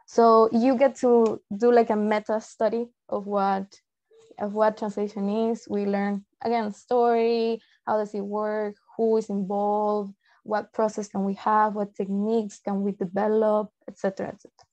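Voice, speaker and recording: Young woman, Peruvian Spanish accent, native Spanish speaker, soft-edged dark tone, informal online lecture, explanatory, muffled video-call audio. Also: mid-range voice, flat delivery, normal speed. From Peru. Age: young adult. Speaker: translator giving a presentation. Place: indoor room, on a video call.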